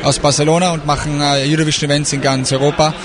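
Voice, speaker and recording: A man's voice: a little high, level tone. Austrian man, eager, crowd noise behind. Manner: eager, fast talk with many fillers. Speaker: event organizer. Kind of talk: interview at a trade fair.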